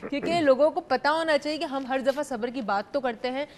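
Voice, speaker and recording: Young pakistani-accented woman, tv talk host, bit high voice, faint hiss behind, chatty. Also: a little fast. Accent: Pakistani Urdu, mixing in English. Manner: chatty, mixing Urdu and English freely. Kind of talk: live call-in talk show hosting. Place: TV studio.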